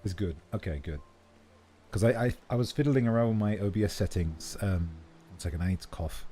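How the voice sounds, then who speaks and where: Deep scratchy-voiced man streaming games. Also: fairly quick, dark tone. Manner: chatty, rambling, self-correcting. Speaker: livestreamer. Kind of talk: casual gameplay stream chat. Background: faint game music.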